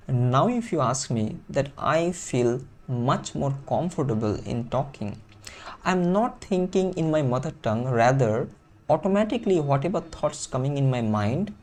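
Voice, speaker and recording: A man's voice: mid-range voice, a little mellow. A man with a Bengali accent, a native Bengali speaker, coaching viewers on English fluency in an earnest teaching monologue that repeats key phrases for emphasis.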